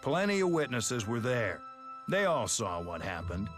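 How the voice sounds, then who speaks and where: An actor playing a sardonic, mock-polite police detective in a video game, smug and theatrical. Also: male voice, medium pitch, sing-song, a little slow, music in the background. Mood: smug, taunting. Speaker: detective character. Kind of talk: English video game dub acting.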